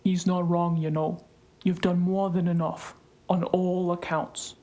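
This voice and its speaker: A woman's voice: lowish voice, level tone, a little slow, dark tone, close to an everyday voice. A woman playing a blunt, exasperated but caring colleague in an audio drama. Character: blunt, exasperated, knowledgeable female colleague, worried.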